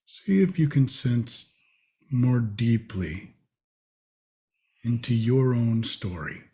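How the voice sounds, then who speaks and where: A man leading a guided meditation, speaking in short, spacious phrases with long pauses. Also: mid-range voice, animated, a little mellow.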